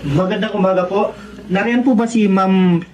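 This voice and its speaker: A formal male messenger played by an actor in a radio drama, courteous and high-voiced. The recording is dull, with clear background noise. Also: steady tone, normal speed, lightly acted. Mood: polite, insistent. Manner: polite, formal. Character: polite male messenger of a governor. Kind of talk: Tagalog radio drama acting. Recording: dull, clearly noisy audio.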